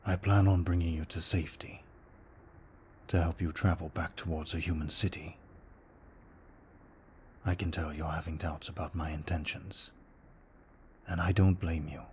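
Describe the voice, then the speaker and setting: A man playing a tormented, courteous vampire in an ASMR roleplay, speaking formally in a hushed, breathy voice that often slips into a whisper. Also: deep voice, level tone, a little hiss or hum, close to an everyday voice. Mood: grave, gentle. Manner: formal, deliberate, brooding delivery. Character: tormented, courteous male vampire fighting his bloodlust. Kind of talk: ASMR roleplay, slow intimate monologue.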